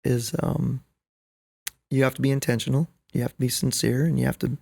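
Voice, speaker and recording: A man's voice: mid-range voice, little pitch movement, a little fast, gravelly, darkish tone, husky. A middle-aged man talking earnestly and emphatically as a podcast guest, telling anecdotes and often checking in with the listener. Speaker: podcast guest, basketball coach. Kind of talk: conversational podcast interview.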